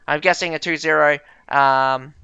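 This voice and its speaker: A man's voice: on the high side, steady tone, unhurried, gravelly, bright, forceful tone, clean tone, dry recording, lo-fi sound, soft background music. A young man casting a strategy game live and chatting with viewers in a chatty, self-deprecating way. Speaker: game caster and streamer. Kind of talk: live game commentary with chat banter.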